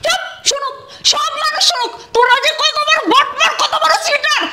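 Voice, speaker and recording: A woman playing a furious young bride who shouts insults and demands a divorce. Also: very high-pitched, fairly even intonation, normal speed, echoey room, very noisy. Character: furious young bride, sharp-tongued and scolding. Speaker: newly married bride. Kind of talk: comic domestic drama acting.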